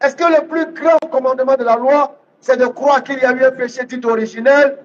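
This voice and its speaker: Guy preaching islam, really high voice, teaching hard and repeating lines, muffled lo-fi audio. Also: steady tone, a little fast. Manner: emphatic, didactic, repeats readings phrase by phrase. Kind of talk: public religious debate preaching. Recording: dull, lo-fi sound.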